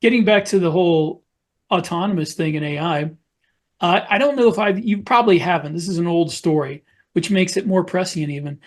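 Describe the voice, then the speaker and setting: A man with a noticeably high-pitched voice speaks as a podcast co-host. He explains and recounts things conversationally, like someone telling a story to friends in a casual panel discussion. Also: brisk.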